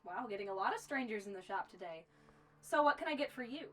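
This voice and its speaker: A woman's voice: mid-range voice, lively intonation, quick pace, a bit bright, soft background music. An actress playing a friendly, talkative young woman who keeps a remote frontier store, with a folksy manner. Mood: friendly, chatty. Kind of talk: amateur western film acting.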